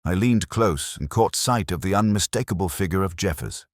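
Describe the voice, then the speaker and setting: A man narrating a Victorian detective story in a measured, literary and theatrical manner. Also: sits low, lively intonation, dry recording, clean studio sound. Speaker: audiobook narrator playing Dr. Watson.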